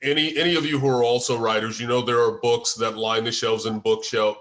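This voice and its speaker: A man's voice: medium pitch, fairly bright tone. An American man who speaks hesitantly in an informal video-call discussion, often searching for his words as he talks about his work as a writer. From United States. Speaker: screenwriter and first-time filmmaker. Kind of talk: informal discussion after a table read. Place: online group video call.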